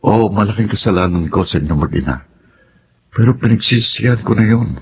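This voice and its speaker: Low-voiced Filipino father. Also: expressive, brisk, highs cut off, slight background noise. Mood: apologetic, earnest. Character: remorseful absent father seeking a second chance. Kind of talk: television drama dialogue.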